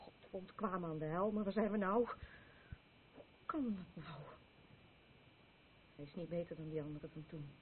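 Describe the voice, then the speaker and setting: A woman's voice: lowish voice, normal speed, long pauses, lightly acted. A woman playing a weary, scolding daughter in a radio play, blunt and exasperated. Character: Selma, weary, scolding daughter looking after a drunkard. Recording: tinny, noisy old radio recording.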